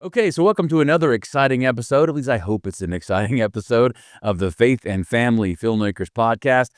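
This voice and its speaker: A man's voice: mid-range voice, animated, fast, dry recording, clean studio sound. A man hosting a podcast, friendly and self-deprecating, joking as he introduces his guest. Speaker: podcast host. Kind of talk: casual interview talk.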